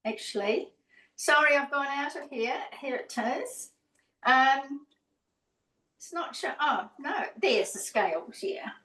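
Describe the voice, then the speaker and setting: A woman's voice: high voice, animated, slow, pushed and bright, far from the mic. A woman giving a casual cooking demonstration over a video call, chatty and rambling, correcting herself as she goes. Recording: roomy, from away from the mic.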